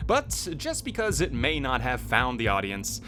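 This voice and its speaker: A man's voice: medium pitch, huge pitch swings, moderate pace, background noise. A man giving scripted narration as a video host. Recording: music playing behind the voice. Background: loud music.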